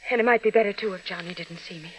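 An actress playing an anxious, devoted young fiancée, hesitant and pleading, in an old radio drama. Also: female voice, lowish voice, racing, slightly rough, poor audio. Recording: old radio recording, faint noise.

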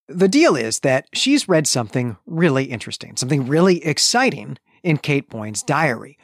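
A man's voice: mid-range voice, sing-song, normal speed, creaky, dry recording, clean studio sound. A man co-hosting a podcast, recapping and discussing a book in a casual, conversational way with hedging asides. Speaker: podcast co-host.

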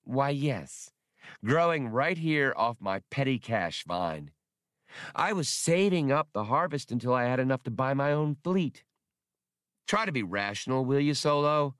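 A man voicing a sensible, sharp-tongued woman traveler who reasons with dry sarcasm, in an audiobook. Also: medium pitch, sing-song, a little slow, clear, resonant tone, no room sound, voice pushed up. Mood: sweetly sarcastic.

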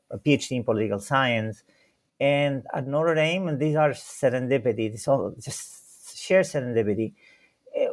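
A man from Chile, a scholar guest in an interview, talking in a hesitant way with many repeated words and fillers. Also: medium pitch. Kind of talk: conversational interview.

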